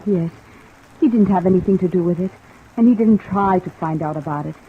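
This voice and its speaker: A frightened, guarded woman played by an actress in old radio drama style. Her voice is low for a woman's and anxious. The sound is thin and tinny, with loud rain noise over it. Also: brisk, close to an everyday voice. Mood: fearful confession. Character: frightened, trapped young woman confiding secrets. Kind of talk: 1950s radio drama acting.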